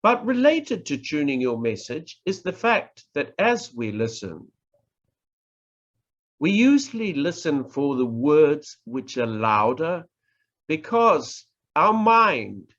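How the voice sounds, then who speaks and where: A middle-aged man with a New Zealand accent, teaching in a deliberate, emphatic way with pauses and repetition over a video call. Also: a little high, a bit bright. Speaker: professor teaching public speaking. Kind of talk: instructional online lecture. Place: indoors, online presentation. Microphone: video call microphone. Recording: muffled lo-fi video-call audio.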